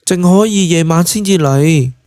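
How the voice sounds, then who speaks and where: A man voicing an earnest, curious young woman in Cantonese, speaking in a soft, hesitant, wondering way for an audio drama. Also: a little high, normal speed, no echo, studio quality, pitched above the performer's own voice, natural voice. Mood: reassuring.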